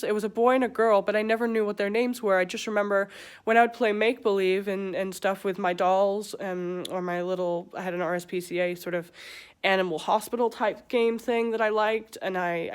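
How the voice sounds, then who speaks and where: Woman in her twenties, English-born with an American accent, smooth clear voice, halting and hesitant confessional vlogger. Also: medium pitch. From England. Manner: hesitant, halting, many pauses and fillers. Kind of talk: personal confessional talk.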